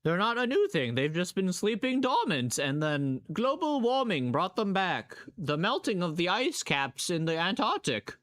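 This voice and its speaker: A man's voice: high voice, huge pitch swings, normal speed, few pauses, a bit bright. A man streaming casual reaction commentary, rambling and playful, often questioning what he watches. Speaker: streamer reacting to horror videos.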